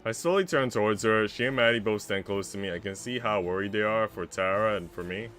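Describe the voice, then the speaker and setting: A man's voice: lowish voice, a little fast, a bit bright. A man livestreaming, talking in an informal, slangy, rambling way as he chats and reads a visual novel aloud. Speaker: streamer. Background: faint game music.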